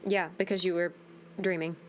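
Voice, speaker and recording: A woman's voice: fairly low pitch, little pitch movement, moderate pace, noisy background. A woman hosting a game playthrough, reading text aloud and commenting on it in a casual, wry, playful way.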